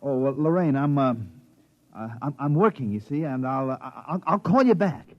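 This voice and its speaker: Actor as flustered, high-voiced American husband. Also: male voice, lively intonation, moderate pace, dull audio, close to an everyday voice. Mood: flustered, evasive. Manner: stammering, flustered, exclaiming. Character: frantic, henpecked husband and logic repairman, flustered and pleading. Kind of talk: old-time radio comedy acting.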